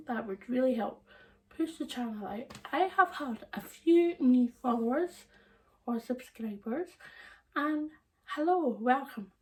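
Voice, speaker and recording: Croaky-voiced woman vlogger, chatty and laughing, unhurried, mic set back a little. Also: sits high, distant mic, poor audio. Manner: chatty, laughs often, meandering. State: hoarse, croaky throat. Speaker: sewing channel host. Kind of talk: casual chat to camera. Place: living room indoors.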